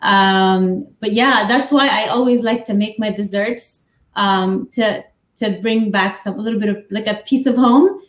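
A young adult woman from the Middle East, a baker, chatting warmly about her desserts over a video call from her home kitchen, with many fillers. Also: mid-range voice, a little slow, roomy sound. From Middle East, grew up in Amman. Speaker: guest baker. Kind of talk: casual chat and cooking demo.

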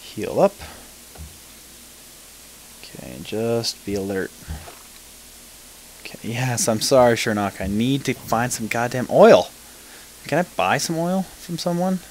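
A man's voice: medium pitch, slow, pauses often, darkish tone, slight background noise, rough recording. A man casually commenting on a strategy game as he plays, talking to himself and swearing offhandedly. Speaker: let's play commentator.

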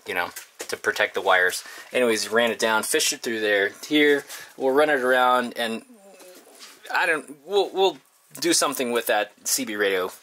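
Bright-voiced man vlogging while he works. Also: mid-range voice. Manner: informal, rambling, talks while working. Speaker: vlogger, host. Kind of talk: casual DIY vlog narration to camera. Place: inside a converted school bus and outdoors beside it. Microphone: handheld camera at arm's length.

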